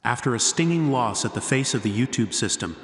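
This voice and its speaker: A man's voice: mid-range voice, lively intonation, a little fast, natural voice. A man playing a formal interviewer who reads scripted questions. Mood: formal, inquiring. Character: formal male questioner of the guardians. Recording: echoey with long reverb.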